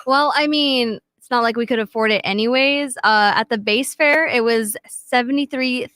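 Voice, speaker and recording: A woman's voice: on the high side, moderate pace, a bit bright, no echo. A woman whose first language is not English, reading news items as a radio co-host. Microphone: studio microphone.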